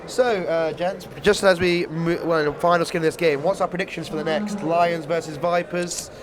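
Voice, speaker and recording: A rambling, joking English man commentates on an indoor cricket match. His voice sits slightly high. A busy crowd chatters loudly behind him. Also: fairly even intonation, normal speed.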